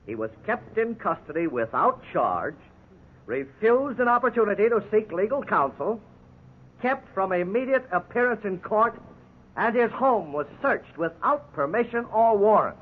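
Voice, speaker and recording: An indignant American defense attorney in a 1950s radio drama. His high voice is rough-edged and rises and falls animatedly in formal courtroom oratory, heard through a thin, phone-like old recording. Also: big pitch swings, unhurried, full character voice.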